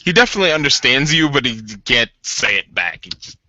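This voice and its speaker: A man casually narrating his character's reactions in a tabletop roleplaying game, improvising in a giggly, animated way. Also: medium pitch, fairly quick, no room sound, poor audio.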